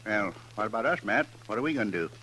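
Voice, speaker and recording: A man's voice: fairly low pitch, lively intonation, quick pace, lightly acted. An actor playing an anxious, plain-spoken American frontier man in a 1950s radio western, folksy and full of questions. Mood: uneasy, doubtful. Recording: noisy 1950s radio recording.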